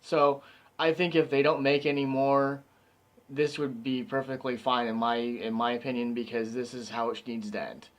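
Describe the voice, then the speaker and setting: A man giving a casual, rambling movie review, excited and slightly hoarse from talking so much. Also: medium pitch, normal speed. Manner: enthusiastic, rambling with many fillers. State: slightly hoarse, losing his voice.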